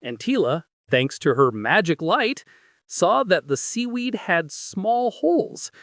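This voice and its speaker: A man narrating a bedtime story in a gentle, soft, unhurried way. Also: sits high, very animated, no echo, clean studio sound.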